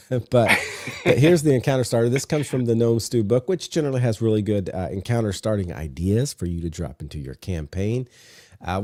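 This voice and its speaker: Male podcast host with a cold, deep mellow tone and a dry sound, laid-back delivery. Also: no echo. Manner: relaxed, many filler "uh"s. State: sick, congested low voice. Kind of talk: casual podcast chat and reading aloud.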